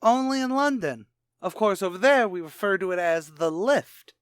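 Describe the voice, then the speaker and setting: A brash tavern manager acted by a man cracks wise in 1940s radio comedy style. His pitch swoops freely as he mixes streetwise slang with puffed-up, would-be elegant words. The sound is dry and close. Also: lively intonation, moderate pace, clear, resonant tone, no echo. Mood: mock-genteel. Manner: streetwise slang mixed with pompous affected words. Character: brash wisecracking tavern manager man, mock-genteel malapropist.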